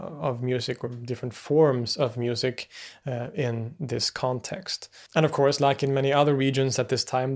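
A man narrating an educational video in a measured lecture style, with occasional fillers and self-corrections. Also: medium pitch.

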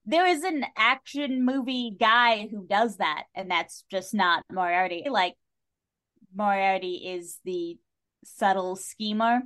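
Casting: female podcast guest, informal and salty-tongued, animated delivery in casual literary chat. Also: medium pitch, slow. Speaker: guest on a book discussion podcast.

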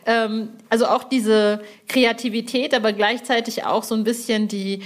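A woman with a fairly high-pitched voice hosts a casual interview. She talks in an effusive, emphatic way. Her sentences often restart as she searches for the right words. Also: steady tone, moderate pace. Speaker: podcast host interviewing a colleague. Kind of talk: casual chat.

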